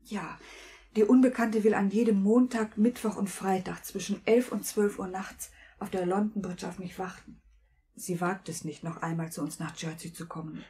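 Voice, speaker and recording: An actress playing a gentle, sincere young lady in a 1950s German radio play, soft, breathy and polite. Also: mid-range voice, steady tone, normal speed, a little hiss or hum, lightly acted. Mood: earnest.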